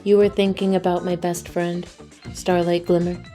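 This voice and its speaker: A woman voicing a deadpan, literal-minded female earth pony in a cartoon-style fan audio drama, speaking matter-of-factly. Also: fairly low pitch, normal speed, faint music behind, close to an everyday voice. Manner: deadpan, flat, matter-of-fact.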